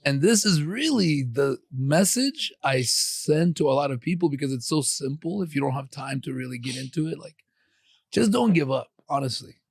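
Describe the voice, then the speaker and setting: A man's voice: a little high, animated, moderate pace, creaky, breathy edge, no room sound, clean studio sound. A man hosting a podcast interview, talking in a loose, encouraging way with lots of fillers and restarts. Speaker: podcast host. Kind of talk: casual interview.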